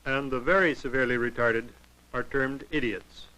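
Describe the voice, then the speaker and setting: A man narrating a 1940s educational film in a formal, clinical, measured way. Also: mid-range voice, steady tone, bright, forceful tone, low-quality recording.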